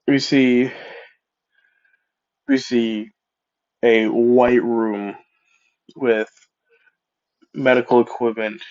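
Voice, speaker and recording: A man with a stuffed-up cold narrates a fan story slowly, wandering off into asides and correcting himself as he goes. His voice sits at an ordinary pitch. It comes through a dull, lo-fi mic. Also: a little mellow. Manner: rambling, self-correcting, casual asides. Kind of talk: improvised fan-fiction storytelling.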